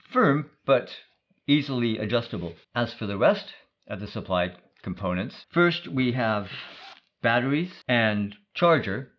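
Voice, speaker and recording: A man gives an explanatory product review at a medium pitch. He speaks in a measured, matter-of-fact manner, musing as he goes. The recording is a little dull and muffled.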